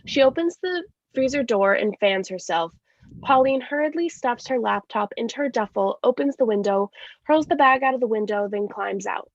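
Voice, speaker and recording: Creaky, higher-voiced woman reading stage directions. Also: lively intonation, moderate pace, no echo. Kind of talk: scripted narration in a live staged reading. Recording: dull lo-fi sound.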